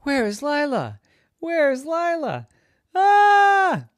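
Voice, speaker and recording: A fretful storybook mother with a squealing high voice, as a man puts her on. She sounds anxious and dramatic, her pitch leaping up and down with a lively swing. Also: measured pace, dry recording, clean studio sound, full character voice. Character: excitable worried young mother. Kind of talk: storybook reading.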